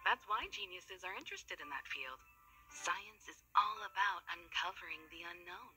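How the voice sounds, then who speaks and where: A woman's voice: fairly low pitch, expressive, normal speed, pushed and bright, soft background music, poor audio. Poised grown-up female game navigator: polished, self-assured, very varied intonation. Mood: light, assured. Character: poised, worldly adult woman expedition navigator. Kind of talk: scripted game dialogue.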